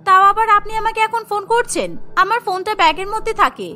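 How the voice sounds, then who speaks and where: A woman's voice: very high-pitched, animated, fast, sharp tone, soft background music, heavily acted. A woman voicing an irritable, ungrateful young daughter-in-law in a cartoon story, curt and scolding. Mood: annoyed.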